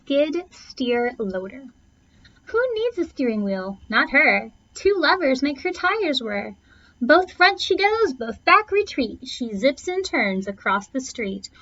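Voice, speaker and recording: Female storybook reader, high voice with very lively swings, expressive rhyming read-aloud, slightly muffled lo-fi recording. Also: slow pace. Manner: theatrical phrasing, stretches and punches key words. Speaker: teacher reading a picture book aloud. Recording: dull, lo-fi sound.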